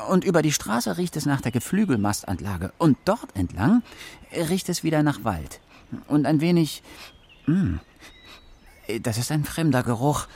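Sly, proud forest fox, husky, swooping delivery, for a children's radio play. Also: male voice, a little high, a little mellow, heavily acted.

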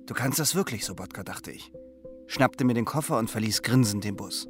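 Wry, laconic Cold War double agent, played by a male actor, lowish register, confiding delivery, radio thriller over clear music. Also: lively intonation, brisk, natural voice. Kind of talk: radio crime drama narration and dialogue.

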